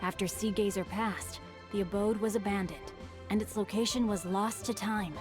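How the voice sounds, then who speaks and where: A woman's voice: mid-range voice, fairly even intonation, normal speed, close to an everyday voice. A woman voicing a courteous, bookish young man in a video game, speaking formally and eloquently over music. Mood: thoughtful. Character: refined, bookish young male guild heir, courteous. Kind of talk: video game character acting. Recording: music behind the voice.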